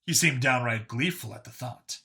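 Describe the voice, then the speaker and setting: A man's voice: mid-range voice, normal speed, gravelly, bright, forceful tone. A man reading scripted story narration as an audiobook narrator.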